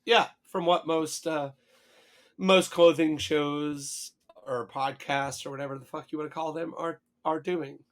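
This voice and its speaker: A hoarse man co-hosting a podcast, chatting casually, swearing and hesitating often. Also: a little high, fairly even intonation, a little slow. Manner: rambling, swears casually, many fillers and restarts. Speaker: podcast co-host. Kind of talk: casual chat.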